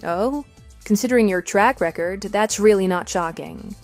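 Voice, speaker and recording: A woman plays an unimpressed wronged wife in a radio drama. She has a medium-pitched voice and a dry, firm, sarcastic delivery, with soft music underneath. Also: normal speed, lightly acted. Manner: dry, firm, matter-of-fact. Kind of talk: scripted radio drama dialogue.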